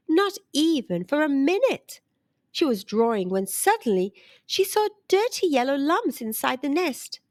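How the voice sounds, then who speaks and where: A woman reading a children's storybook aloud in an expressive storytelling style. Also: high voice, dry recording, clean studio sound.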